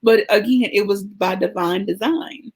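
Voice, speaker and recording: Woman podcast host, sincere and reflective, slightly breathy, mid-pitched voice. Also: a little slow, a little mellow. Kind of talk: casual podcast conversation.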